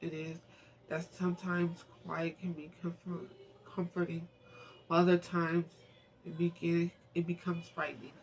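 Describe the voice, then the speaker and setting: A man reading a novel aloud in an informal, emotional, soft storytelling manner, now and then speaking in asides. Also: fairly high pitch, little pitch movement, normal speed, a little hiss or hum. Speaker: amateur book reader and channel host.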